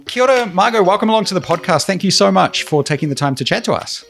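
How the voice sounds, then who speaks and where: A man's voice: sits high, animated, quick pace, creaky, music in the background. A man hosting a podcast, asking questions in a conversational interview style.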